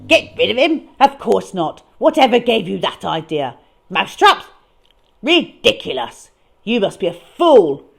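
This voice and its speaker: A woman's voice: medium pitch, very animated, measured pace, vocal fry, husky, heavily acted. A woman voicing an indignant, pompous male boss in a children's story, in blustering, clipped outbursts.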